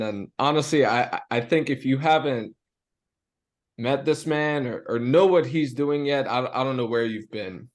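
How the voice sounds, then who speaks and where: A young man hosting a podcast interview, eager and often stumbling over his words. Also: medium pitch. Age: young adult. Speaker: podcast host. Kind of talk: casual interview.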